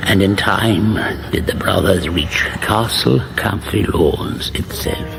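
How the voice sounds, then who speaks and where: A man's voice: low-pitched, slow, vocal fry, full character voice. A man playing a solemn, portentous storyteller, giving scripted mock-epic narration for a radio comedy. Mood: ominous, solemn. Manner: grave, portentous. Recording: loud music behind the voice.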